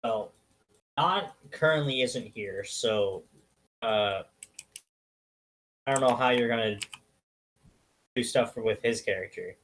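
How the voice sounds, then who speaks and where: A man's voice: medium pitch, takes it slowly, long pauses, fairly bright tone, slight background noise, rough recording. A young man playing in a tabletop game over voice chat, speaking hesitantly with stammers and filler words. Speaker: player. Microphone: online voice chat mic.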